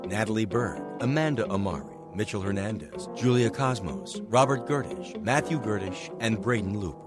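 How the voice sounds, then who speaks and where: A man reading scripted end credits as the announcer for a radio drama. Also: mid-range voice.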